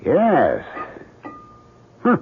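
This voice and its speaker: A muffled old radio recording of an opinionated painter. The voice is low and gravelly and swings very widely in pitch, self-assured and insistent, in 1970s radio drama style. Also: male voice, huge pitch swings, extremely slow, soft background music, full character voice. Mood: intrigued.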